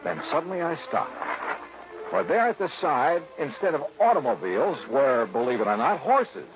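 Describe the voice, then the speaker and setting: An actor playing a wry, breezy insurance investigator with a punchy, wisecracking delivery in the style of 1950s radio detective drama. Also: male voice, fairly high pitch, sing-song, normal speed. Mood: wry narration. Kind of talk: 1950s radio detective acting with first-person narration. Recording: narrow old radio broadcast with music.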